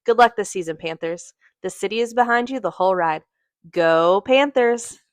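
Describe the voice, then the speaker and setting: A woman's voice: mid-range voice, normal speed, no room sound. Woman reading a scripted promo, dry sound. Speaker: local official giving a sponsor message.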